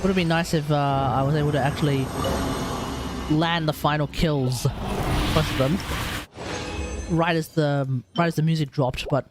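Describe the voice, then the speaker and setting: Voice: mid-range voice, steady tone, normal speed, long pauses. A streamer giving casual, rambling gameplay commentary on a livestream, thinking aloud while playing. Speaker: video game streamer. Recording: voice under loud game music. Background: loud video game music.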